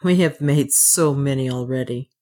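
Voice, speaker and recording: A woman's voice: a bass voice, moderate pace, dark tone. A woman reading an audiobook, voicing a determined Englishman who talks earnestly and resolutely. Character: adult Englishman Leonard, steady and determined.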